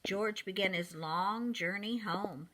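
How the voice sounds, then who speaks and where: A woman reading a picture book aloud to young children in an expressive, deliberate manner, speaking directly to them. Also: mid-range voice, slight background noise, low-quality recording.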